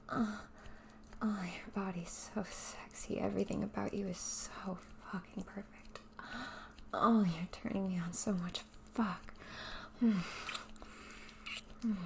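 A woman speaking straight to the listener in a soft, breathy, often whispered voice, dominant and teasing in an intimate audio roleplay. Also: medium pitch, a little hiss or hum.

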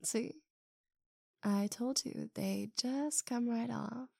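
A woman playing a possessive but affectionate girlfriend in an intimate roleplay audio, with a breathy, drawn-out delivery. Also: a little high, darkish tone, dry recording, studio quality, heavily acted. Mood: tender, relaxed.

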